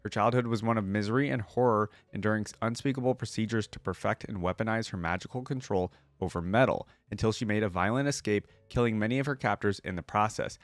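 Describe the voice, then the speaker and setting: A man's voice: lowish voice, fast, few pauses, dry recording. A man with an informal, conversational manner, hosting a reaction video with casual commentary and lore read aloud. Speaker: YouTube reaction host.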